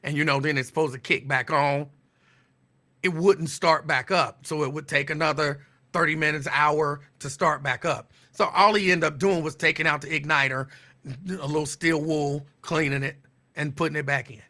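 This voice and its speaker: Bright-voiced man chatting casually. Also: fairly high pitch. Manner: relaxed, rambling, drawn-out repeats. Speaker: show host. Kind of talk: casual live-stream chat.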